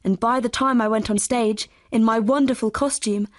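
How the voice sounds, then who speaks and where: Woman, higher voice, determined exam monologue, dry studio sound. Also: steady tone, moderate pace, dry recording. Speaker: exam recording speaker. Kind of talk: scripted monologue reading.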